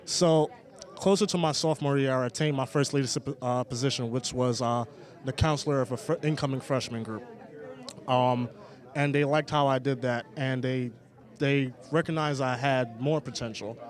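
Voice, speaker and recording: A man's voice: mid-range voice, fairly even intonation, normal speed. A young man giving an interview outdoors, his voice sitting mid-range. He speaks earnestly and a little nervously, circling back with restarts and fillers as he gathers his thoughts. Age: young adult. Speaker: former student leader, guest. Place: outdoors, city setting.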